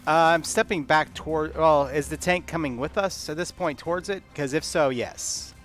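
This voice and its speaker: A man's voice: fairly high pitch, normal speed, fairly bright tone, soft background music. A man talking casually as a player taking a turn in a tabletop roleplaying game.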